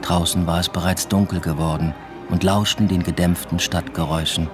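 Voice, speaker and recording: A man's voice: sits low, fairly even intonation, fairly quick, over music, lightly acted. An actor playing an introspective young doctoral student who narrates his own story with restraint in a literary radio drama. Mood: reflective.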